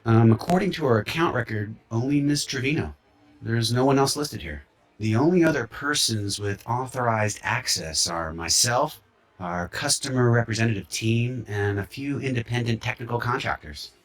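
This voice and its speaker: An actor playing a guarded, evasive company employee in a video game. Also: male voice, mid-range voice, normal speed, close to an everyday voice. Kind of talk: video game dialogue acting.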